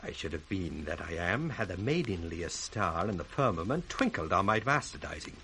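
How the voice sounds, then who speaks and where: Sly British schemer, wildly swooping delivery. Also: male voice, deep voice, big pitch swings, moderate pace, bright, forceful tone, full character voice. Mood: mocking, scornful. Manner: theatrical, sardonic, crisply declaimed. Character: scheming, mocking bastard son, sly and ambitious villain. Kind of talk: 1960s radio Shakespeare acting. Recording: faintly hissy 1960s radio recording.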